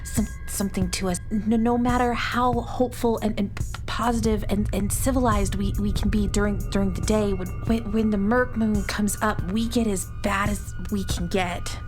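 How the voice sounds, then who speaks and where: A woman's voice: medium pitch, fairly even intonation, moderate pace, close to an everyday voice. Woman voicing a nervous stammering alien schoolgirl. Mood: gloomy, fearful. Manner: stammering, repeating words nervously. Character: anxious young alien schoolgirl, secretly a magical heroine. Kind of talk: children's audio drama acting. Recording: music playing behind.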